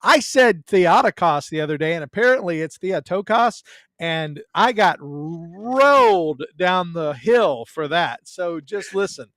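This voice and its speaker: A man's voice: high voice, expressive, measured pace, fairly bright tone, dry recording. A man with a Southern US accent, a guest in a casual chat, telling stories in a joking, self-deprecating way.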